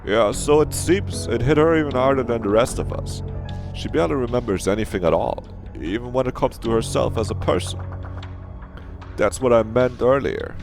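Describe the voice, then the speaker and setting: A man's voice: mid-range voice, lively intonation, moderate pace, gravelly, darkish tone, faint music behind, voice pushed up. A man playing an earnest, placating visual novel character who explains things carefully. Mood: explaining.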